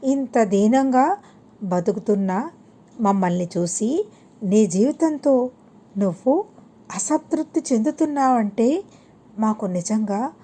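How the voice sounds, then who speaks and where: Lady reading tiny humble sparrows in a kids storybook, anxious, high voice, real slow. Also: expressive, mellow. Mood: earnest, plaintive.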